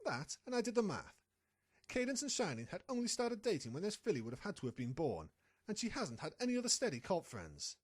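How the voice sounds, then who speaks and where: A woman's voice: mid-range voice, wildly swooping pitch, brisk, husky. A woman playing a sly, scheming pony reporter in an audio drama, confident and impatient with a partner. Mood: insistent deduction. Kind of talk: fan audio drama acting.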